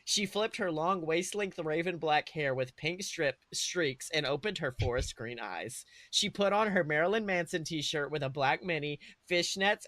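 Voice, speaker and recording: A man's voice: on the high side, a bit bright, dry recording. A man co-hosting a podcast, reading a story aloud in a theatrical, mock-earnest way. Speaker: podcast co-host. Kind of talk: comic dramatic read-aloud of fan fiction.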